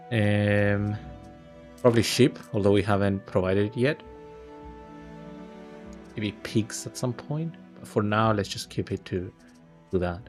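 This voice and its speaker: Man, low voice, gaming commentary. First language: Greek. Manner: thinking aloud, relaxed and unhurried. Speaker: gaming video host. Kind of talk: casual gameplay commentary.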